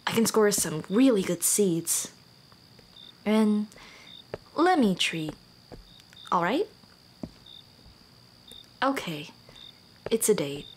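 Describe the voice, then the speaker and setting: Woman acting a slow, shy, teasing monster girl. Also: medium pitch, very animated, a little hiss or hum. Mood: warm, eager. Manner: soft, hesitant, intimate, often dropping to a whisper. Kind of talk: ASMR romantic roleplay.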